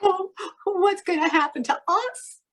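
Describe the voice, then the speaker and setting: A woman acts as a young carnival snake handler in an old radio drama. Her voice is high-pitched. She sounds anxious, plaintive and melodramatic. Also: a little slow, fairly bright tone. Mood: distraught, frightened.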